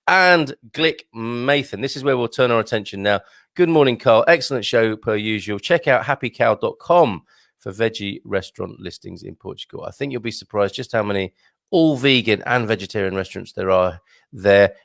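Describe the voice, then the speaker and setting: A man's voice: fairly low pitch, vocal fry, fairly bright tone, no echo, studio quality. A man hosting a casual news show, reading out viewer comments with chatty, wry asides. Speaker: host.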